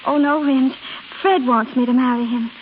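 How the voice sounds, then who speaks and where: A tinny, hissing old radio recording of an actress playing a young American office girl in a radio romance. Her voice is high, tender and wistful. She speaks haltingly, with a nervous coyness. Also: steady tone, natural voice. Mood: emotional, hesitant. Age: young adult. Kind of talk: 1930s American radio drama acting.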